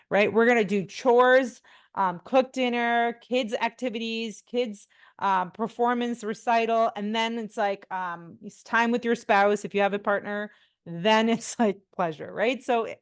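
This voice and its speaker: A woman's voice: fairly high pitch, measured pace, clear, resonant tone. A woman in her forties from India, a pelvic physiotherapist talking as a podcast guest in a chatty way, full of fillers and restarts. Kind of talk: casual interview talk.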